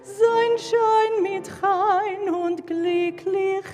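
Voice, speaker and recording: Female cantor, operatic solo, extremely slow phrasing over musical accompaniment. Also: very high-pitched, expressive, big-room sound, soft background music. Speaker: cantor, singer.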